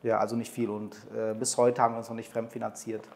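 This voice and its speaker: A man in his thirties from Portugal, a guest talking in a relaxed way with plenty of hesitations. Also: on the low side, fast, creaky. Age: 30s. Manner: relaxed, hesitant with many fillers. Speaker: guest, entrepreneur. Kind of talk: interview conversation. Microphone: desk microphone on boom arm.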